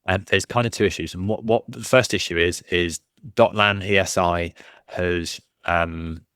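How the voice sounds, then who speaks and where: A man fielding questions in a casual livestream, rambling with many ums and restarts in a low voice. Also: steady tone, measured pace, a bit bright, clean tone, no room sound, studio quality. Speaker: fleet commander answering questions.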